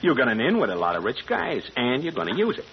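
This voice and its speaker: An actor playing a brash, bullying American gangster boss in an old radio crime drama, slangy and taunting. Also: male voice, mid-range voice, huge pitch swings, fast. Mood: gloating. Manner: slangy tough-guy talk, taunting. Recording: muffled, noisy old radio broadcast.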